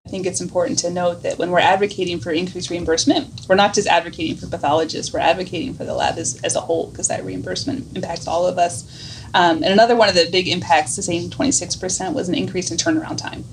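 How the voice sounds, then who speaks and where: Female physician interviewee, quick talker, gravelly fry, faint noise behind the recording. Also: on the low side, steady tone, poor audio. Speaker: physician interviewee, committee chair. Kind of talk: unscripted interview commentary.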